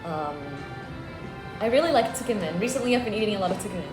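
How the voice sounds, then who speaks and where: A woman's voice: medium pitch, quick pace, over music. Young woman, echoey room. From Austria. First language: German. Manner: relaxed, thoughtful, many ums. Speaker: guest. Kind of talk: casual interview answers.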